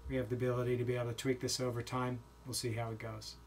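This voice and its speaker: A man in his forties explaining a homemade project in a small basement utility room, in a relaxed, plain way with occasional fillers. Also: medium pitch, racing, a little hiss or hum. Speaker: vlogger. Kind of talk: casual show-and-tell walkthrough.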